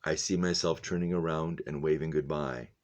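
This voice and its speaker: A man reading the storybook lines of a gentle, haunted young man in hiding, subdued and hesitant. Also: rumbling low, fairly even intonation, normal speed. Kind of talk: storybook read-aloud dialogue.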